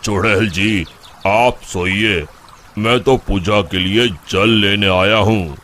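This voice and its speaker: A man playing a calm village temple priest in a cartoon story, speaking in a measured, solemn, polite way. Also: fairly low pitch. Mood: polite, persuasive. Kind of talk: Hindi cartoon story dialogue. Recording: faint background noise.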